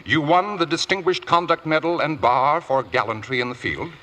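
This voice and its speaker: A man's voice: on the high side, normal speed, pushed and bright. A man playing a grave, insistent Crown prosecutor in a radio courtroom drama, speaking with formal, rhetorical emphasis. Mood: pointed, measured.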